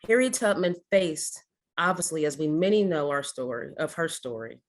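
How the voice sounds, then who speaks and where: Measured woman giving a ceremonial speech. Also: low voice, lively intonation. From Ohio, USA. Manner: measured, rhetorical, with repeated challenge questions. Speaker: keynote speaker.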